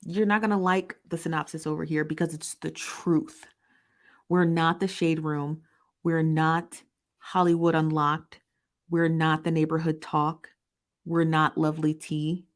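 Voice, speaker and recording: A woman's voice: deep voice, level tone. Woman commentary host, emphatic and repetitive, confident storyteller. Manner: emphatic, repetitive, argumentative, with quick laughs. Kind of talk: opinionated news commentary, reading viewer comments.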